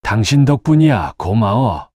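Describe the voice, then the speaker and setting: A woman voicing a gentle middle-aged husband who speaks softly and cautiously in an audio drama. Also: very deep voice, very animated, a little slow, husky, dry recording, pitched below the performer's own voice, exaggerated voice. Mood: grateful. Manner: soft, cautious.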